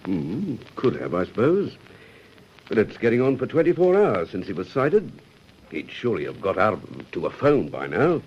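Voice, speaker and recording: An actor playing a thoughtful, concerned police sergeant in a radio drama. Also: male voice, fairly low pitch, very animated, moderate pace. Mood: concerned, pondering. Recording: muffled old radio recording, faint noise.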